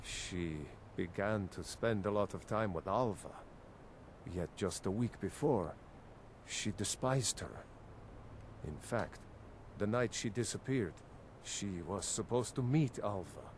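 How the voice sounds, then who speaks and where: Casting: bereaved village husband, fantasy game; halting, unhurried, dramatic delivery, slight rumbling noise behind. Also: male voice, expressive. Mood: mournful. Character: grief-stricken, disbelieving villager husband.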